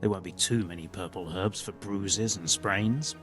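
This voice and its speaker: A man's voice: deep voice, moderate pace, music in the background, close to an everyday voice. An actor playing a modest, helpful medieval man who knows a little herbalism, in a video game. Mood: obliging. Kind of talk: video game cutscene dialogue.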